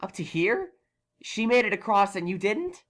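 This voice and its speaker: A woman playing a brainy, exasperated young male unicorn magician in a fan audio drama, questioning in a measured way. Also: mid-range voice, sing-song, a bit bright. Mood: concerned, curious.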